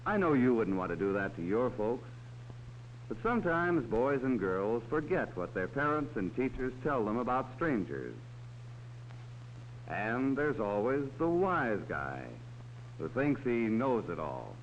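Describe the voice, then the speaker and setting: Man, narrator, old safety film, talks down to kids, lively pitch, muffled hissy soundtrack. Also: a little slow. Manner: talks down to children. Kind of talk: 1940s educational film narration. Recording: dull old film soundtrack with faint hiss.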